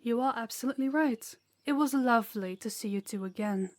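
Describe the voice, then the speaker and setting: A woman playing a sincere, remorseful queen in a fantasy audio drama, speaking in a formal, measured way. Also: a little high, no room sound, close to an everyday voice. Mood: gracious. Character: earnest, remorseful queen of a kingdom, gracious and formal. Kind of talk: scripted fantasy audio roleplay.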